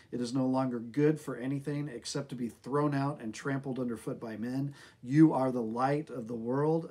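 A middle-aged man with an American accent speaks as a pastor. His voice sits at an average pitch for a man. He delivers a devotional talk to camera, recorded indoors in a small office. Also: a little mellow.

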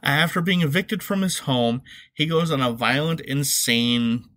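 Male podcast host, middle-aged, mid-pitched; wry, casual comedic commentary, salty language. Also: a little slow, a bit bright. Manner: sarcastic, conversational, profane.

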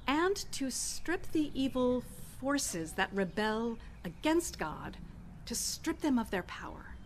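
A middle-aged woman pastor preaching a sermon in an outdoor church garden, speaking into a stand mic at a lectern with expressive pauses. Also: on the high side, a little hiss or hum.

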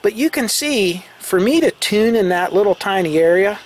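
Higher-voiced man explaining casually. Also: expressive, normal speed, a little hiss or hum. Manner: informal, conversational, step-by-step. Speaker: radio hobbyist presenter. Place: indoors beside radio equipment.

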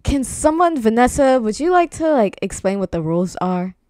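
A woman's voice: on the high side, big pitch swings, moderate pace, darkish tone, dry recording, clean studio sound. A young woman playing an exasperated, bossy hostess in a slangy improvised game roleplay. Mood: organizing. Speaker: channel host and roleplayer.

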